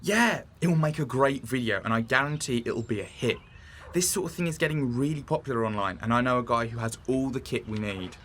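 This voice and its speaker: Bright, eager young man acting. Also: medium pitch, lively intonation, brisk, slight background noise, lightly acted. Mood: eager, persuasive. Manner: coaxing, eager. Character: Liam, eager, persuasive, apologetic young male friend. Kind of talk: audio drama acting.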